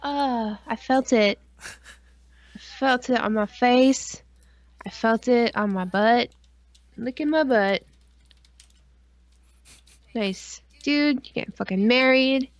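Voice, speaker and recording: A woman's voice: a little high, slow pace, long pauses, dry recording. A woman co-hosting a casual livestream with goofy, crude, sweary banter. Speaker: podcast stream co-host.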